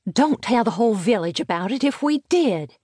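A woman voicing a brisk, strict country mother in a storybook, plain-spoken, admonishing and sardonic. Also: fairly high pitch, animated, dark tone, breathy edge, no room sound, clean studio sound, voice pushed up.

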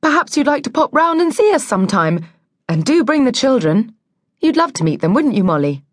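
A woman's voice: sits high, very animated, fairly quick, dry recording, clean studio sound, pitched above the performer's own voice. A woman voicing a polite, nervously friendly mother in a children's story, anxious to please. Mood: polite, eager.

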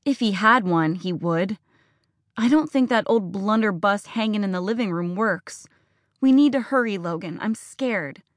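A woman's voice: medium pitch, normal speed, no room sound, clean studio sound, natural voice. A woman voicing a defiant, tearful teenage farm girl who pleads and accuses. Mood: frightened.

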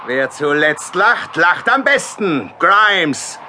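A man's voice: high voice, big pitch swings, slow pace, bright, forceful tone, a little hiss or hum, heavily acted. An actor playing a defiant, sarcastic male ghost hunter in a radio play.

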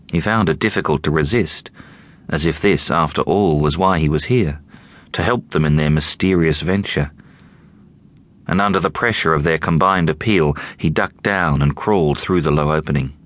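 A man narrating an audiobook in a measured, deliberate storytelling manner. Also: extremely low pitch, a bit bright.

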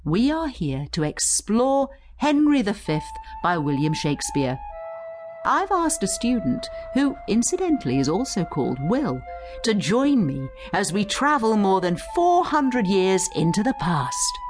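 A woman giving scripted educational narration as a guide, in an instructive, expressive storytelling manner. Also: medium pitch, unhurried, music in the background. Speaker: study guide narrator and tour guide.

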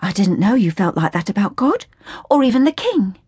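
A woman voicing a meek, pious young orphan girl who speaks softly and gently. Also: medium pitch, sing-song, fairly quick, breathy edge, dry recording. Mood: gentle. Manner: soft and gentle.

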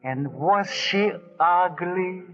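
An actor playing a roguish, garrulous guerrilla who teases with drawn-out theatrical relish in old radio drama style. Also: male voice, high voice, a bit bright, full character voice. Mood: boastful, teasing. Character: roguish, teasing male guerrilla, garrulous and cowed by authority. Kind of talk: 1940s radio acting. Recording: dull vintage radio recording, faint music.

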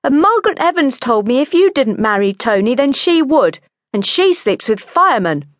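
A woman narrator plays an exasperated younger sister in a comic audiobook memoir. The voice is pitched high and plaintive, wailing and whining at the bride with open frustration. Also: sing-song, normal speed, dry recording, studio quality.

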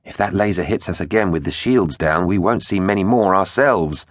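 A man's voice: low voice, moderate pace, no echo, studio quality. A man voicing an anxious, alert female dinosaur crew officer in a children's story, with a worried, urgent manner.

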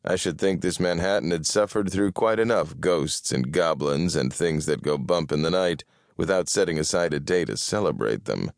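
A man's voice: sits low, expressive, normal speed, dry recording. A man voicing a sardonic, grumpy male detective with a dry, irritable delivery, in an audiobook.

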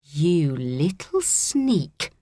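A woman's voice: high-pitched, big pitch swings, moderate pace, dry recording, clean studio sound, pitched above the performer's own voice. A woman voicing a rude, bossy eleven-year-old schoolgirl in an audiobook, with a sneering manner. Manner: sneering, hissing, fake-sweet to adults.

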